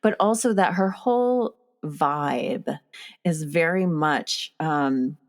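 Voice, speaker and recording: A young woman with a low voice hosts a casual talk about films. She is chatty and often stops to search for the right word, filling the gaps with ums. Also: slow. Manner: chatty, searching for words, many fillers. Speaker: podcast host. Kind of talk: casual film discussion.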